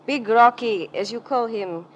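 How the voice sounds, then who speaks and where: An actress playing an elegant, wistful ballerina with formal, melodramatic speech in a 1940s radio drama. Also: female voice, a little high, unhurried, close to an everyday voice. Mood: weary, resigned. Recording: noisy old radio recording.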